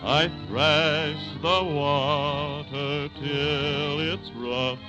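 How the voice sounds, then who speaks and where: A man's voice: on the high side, level tone, very slow. An American man playing a mournful, grumbling whale, singing a comic operatic lament in 1930s radio style. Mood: doleful. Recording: dull old radio recording, music behind.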